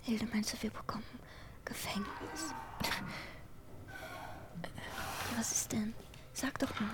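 An actress playing a frightened young pregnant prisoner in a radio drama, anxious and pleading for reassurance. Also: female voice, fairly high pitch, slow, in a whisper. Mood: hushed, worried. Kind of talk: naturalistic radio drama acting.

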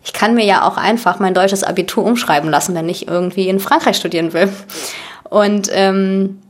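A woman's voice: medium pitch, moderate pace. A woman in her twenties from Germany, a guest chatting casually with many fillers and restarts. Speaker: actress and guest. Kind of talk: casual chat.

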